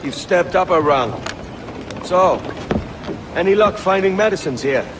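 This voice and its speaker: An actor playing a hearty, admiring pirate comrade in a video game. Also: male voice, high voice, expressive, unhurried. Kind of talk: video game cutscene dialogue. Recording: noisy boat and water ambience.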